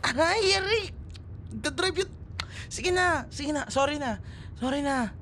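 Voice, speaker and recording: A man's voice: extremely high pitch, expressive, a little slow, bright, forceful tone, slight background noise, full character voice. A man with a Filipino accent acting a teasing young ex-boyfriend in a casual, intimate roleplay. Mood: playful protesting.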